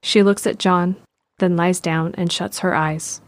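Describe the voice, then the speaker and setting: Low-voiced woman narrating drama. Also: fairly even intonation, measured pace, slight background noise. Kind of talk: scripted audio drama narration.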